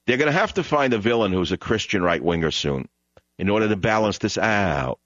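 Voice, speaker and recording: A man's voice: fairly low pitch, animated, brisk, fairly bright tone, no room sound. A man hosting a call-in radio talk show, emphatic and combative, repeating lines for effect. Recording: dull radio broadcast sound.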